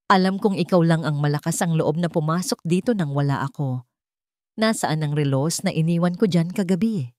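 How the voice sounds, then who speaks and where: A woman's voice: low voice, moderate pace, darkish tone, no room sound, studio quality, lightly acted. A woman playing a furious, cold and wealthy household master in a dramatic audio story. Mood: accusing, angry.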